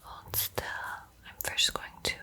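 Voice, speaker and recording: Woman playing a slow whispering vampire art teacher. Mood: soothing. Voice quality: breathy whisper. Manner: slow, hushed, coaxing, hesitant pauses. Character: female vampire art teacher, soothing, secretly hungry. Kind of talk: ASMR roleplay.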